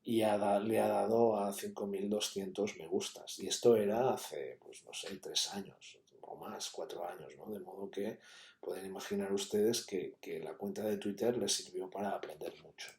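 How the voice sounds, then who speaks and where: A man's voice: fairly low pitch, fairly even intonation, normal speed, creaky, darkish tone. A man giving an academic conference talk, explaining steadily with many fillers and tag questions. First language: Catalan. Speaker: university lecturer. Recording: echoey room.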